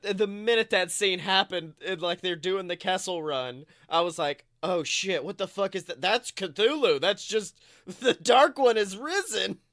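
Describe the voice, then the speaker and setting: A man with a notably high voice talks casually as a podcast co-host. He tells things in an animated, profane way, quoting his own reactions. The sound is very dry, with next to no room echo. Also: unhurried. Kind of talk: casual film discussion chat.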